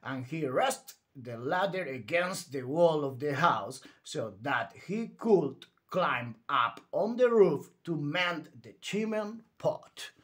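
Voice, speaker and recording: A man's voice: on the high side, lively intonation, slow pace, hardly any pauses, fairly bright tone. A man reading a children's story aloud in a theatrical, emphatic bedtime read-aloud style.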